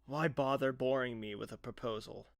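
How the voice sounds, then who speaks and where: A man's voice: mid-range voice, steady tone, normal speed, vocal fry, no echo, studio quality, close to an everyday voice. Man playing cocky, blunt fantasy rival. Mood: dismissive. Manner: brash, wry. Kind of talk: fantasy audio drama acting.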